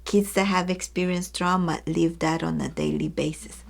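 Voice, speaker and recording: A woman with a fairly low voice that breaks into gravelly fry explains an idea to educators. She speaks to her listeners directly and earnestly, acting out examples from everyday life. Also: normal speed. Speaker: early childhood educator. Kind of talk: explanatory teaching talk.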